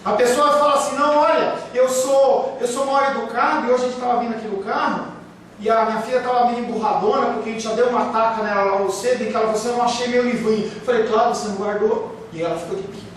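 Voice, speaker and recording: Brief: pastor, male, high voice, emphatic sermon delivery, distant mic. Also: steady tone, fast, fairly bright tone. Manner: emphatic, rhetorical questions, acts out quoted excuses. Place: church hall. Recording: very echoey room, speaker far from mic, faint hiss.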